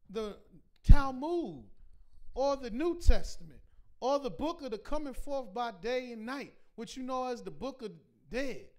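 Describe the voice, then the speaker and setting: A man teaching a scripture class in a preaching style, reading verses slowly and pressing his points with repeated rhetorical questions. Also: high-pitched. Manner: emphatic, repetitive rhetorical questions; slow deliberate scripture reading.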